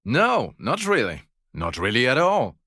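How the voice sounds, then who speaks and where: A man's voice: mid-range voice, wildly swooping pitch, a little slow, sharp tone, no echo, full character voice. A man voicing a jaded, foul-mouthed police detective in a video game, with dry, biting sarcasm and exasperation. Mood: mocking sarcasm. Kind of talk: video game character voice acting.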